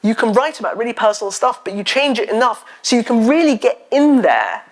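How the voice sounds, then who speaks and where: A woman novelist with a loud voice, talking at a live festival event on stage into a microphone, chatty and funny as she tells stories. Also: fairly high pitch, brisk. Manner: chatty, animated storytelling with self-deprecating humour. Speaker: novelist guest. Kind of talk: live on-stage literary chat. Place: festival stage before an audience.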